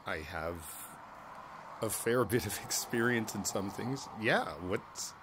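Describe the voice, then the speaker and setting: A man playing an easygoing, friendly older neighbor in a conversational ASMR roleplay, speaking thoughtfully with hesitant pauses. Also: lowish voice, noisy background. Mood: thoughtful, gentle. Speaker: voice actor in an audio roleplay. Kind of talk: ASMR roleplay, one side of a casual conversation. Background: outdoor ambience with birds.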